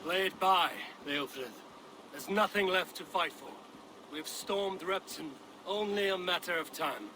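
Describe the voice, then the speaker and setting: An actor playing a resolute, honorable Viking warrior leader in a video game, commanding, measured and blunt. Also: male voice, high-pitched. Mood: stern. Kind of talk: dramatic video game acting. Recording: clear ambient background noise.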